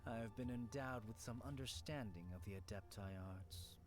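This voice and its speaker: A mysterious shrine keeper from a video game, voiced by a man, speaking in calm, formal riddles. Game music plays underneath. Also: mid-range voice, moderate pace, close to an everyday voice. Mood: cryptic.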